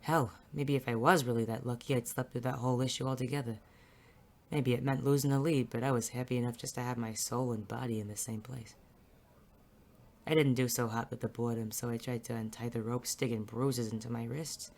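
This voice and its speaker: A man's voice: mid-range voice, level tone, a little fast, a little mellow, close to an everyday voice. A man playing a bitter, world-weary private detective, narrating in wry first-person noir style. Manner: wry, brooding. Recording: faint music underneath.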